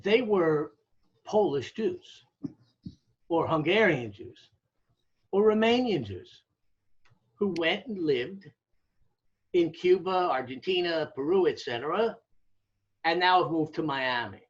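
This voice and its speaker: A man's voice: fairly high pitch, lively intonation, slow, long pauses. An American man explaining things in a conversational interview, emphatic and didactic, often checking in and posing rhetorical questions. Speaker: academic interviewee, demographer. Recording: dull, lo-fi audio.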